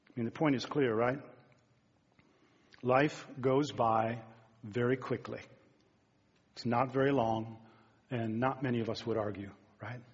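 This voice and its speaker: A man's voice: mid-range voice, fairly even intonation, a little slow, long pauses, husky. A man in his fifties preaching a sermon, talking in an earnest, conversational way and turning now and then to speak straight to the congregation. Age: 50s. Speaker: pastor. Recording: dull, muffled sound with long hall reverb.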